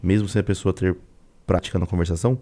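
A man's voice: deep voice, steady tone, quick pace, mellow. A man with a Brazilian accent hosting a casual podcast, reacting briefly and asking questions in an informal way. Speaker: podcast host. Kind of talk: casual interview chat.

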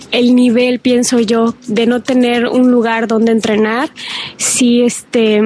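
A woman's voice: a little high, darkish tone. A young woman with a Mexican accent, a student athlete answering interview questions casually and a little hesitantly. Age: young adult. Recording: faint background noise.

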